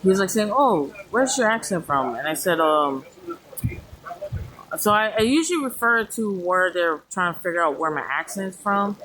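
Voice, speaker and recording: A woman's voice: medium pitch, animated, normal speed, fairly bright tone, rough recording. A woman with an American West Coast accent touched by Hawaiian island speech, chatting casually and meandering in a self-deprecating way to social media. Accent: American West Coast with Hawaiian island influence. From Hawaii, California and American Samoa, US. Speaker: vlogger.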